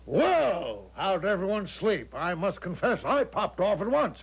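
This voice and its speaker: An actor playing a jovial, overconfident eccentric major in a 1970s radio drama, hearty and reassuring with grand old-fashioned phrasing. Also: male voice, sits high, wildly swooping pitch, a little slow, dull audio, a little hiss or hum, heavily acted. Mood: breezy, reassuring.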